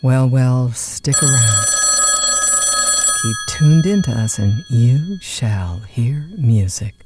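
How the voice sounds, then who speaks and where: A woman's voice: extremely low pitch, animated, takes it slowly, very noisy, exaggerated voice. A woman playing a chatty, lonesome overnight disc jockey in an audio drama, with a folksy, polite, hesitant conversational manner. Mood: friendly, obliging. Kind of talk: naturalistic audio drama acting, one side of phone calls.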